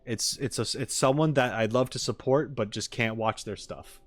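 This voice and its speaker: A man's voice: mid-range voice, normal speed, dry recording. A man streaming casually to viewers, rambling through his thoughts and acting out quotes with emphasis. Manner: rambling, emphatic quoting of others. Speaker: streamer reacting to game videos. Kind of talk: casual chat with viewers, theorizing.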